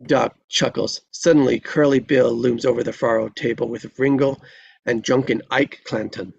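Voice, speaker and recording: A man reading screenplay stage directions as scripted narration, with a gravelly voice and slightly dull sound. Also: medium pitch, steady tone, normal speed.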